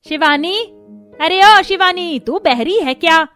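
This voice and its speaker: A woman plays a greedy middle-aged mother-in-law from a cartoon. Her voice is high and her manner bossy, scolding and sharp-tongued, with music behind her. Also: slow pace, heavily acted.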